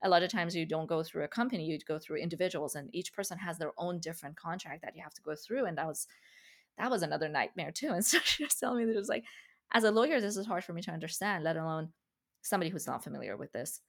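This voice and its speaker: A woman's voice: fairly low pitch, animated, fast, vocal fry. A woman whose first language is Farsi, hosting a casual conversation and talking thoughtfully with light laughs. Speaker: podcast host.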